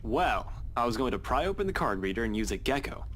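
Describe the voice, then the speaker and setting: A man's voice: medium pitch, very animated, fast talker, fairly bright tone. An actor voicing a cocky but protective young male detective in a visual novel, dry and earnest. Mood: casual, cocky.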